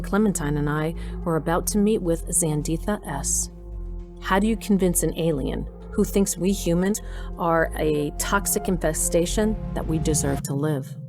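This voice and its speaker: Brief: actress as sincere female lead and storyteller, low register, over a music bed. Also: close to an everyday voice. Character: earnest human heroine narrating her story. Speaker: narrator. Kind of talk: first-person audio drama narration and dialogue.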